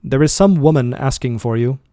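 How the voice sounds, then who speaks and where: A composed male servant talks with a deliberate lisp and maddening calm, voiced by a male reader. Each word comes out slow and measured. The sound is dry and close. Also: medium pitch, no echo, lightly acted. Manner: slow, measured, self-assured.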